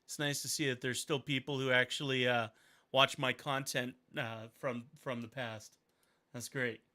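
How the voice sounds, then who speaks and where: A man's voice: mid-range voice, little pitch movement, normal speed, pushed and bright. A man in his thirties from Montana, chatting casually and upbeat while he draws on a livestream, rambling and thinking aloud. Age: 30s. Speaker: caricature artist and videographer.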